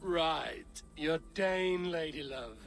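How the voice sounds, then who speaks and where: An actor playing a wry, protective Norse warrior in a video game, speaking in a dry, direct manner. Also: male voice, a little high, lively intonation, very slow, vocal fry, fairly bright tone, full character voice. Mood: wry, teasing. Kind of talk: video game character acting.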